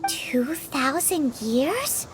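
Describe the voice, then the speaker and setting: Squeaky, very high-pitched tiny fairy girl. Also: big pitch swings, very slow, very noisy, heavily acted. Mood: astonished. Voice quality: squeaky, childlike. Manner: refers to herself in third person, exclaiming. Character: tiny floating childlike fairy girl companion, excitable and curious. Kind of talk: video game dialogue.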